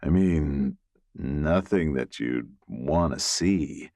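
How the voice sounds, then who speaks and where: Man as amiable low-voiced middle-aged guy, sheepish. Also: drawn-out words, mellow, no room sound, full character voice. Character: Bernie, amiable, awkward male realtor, middle-aged.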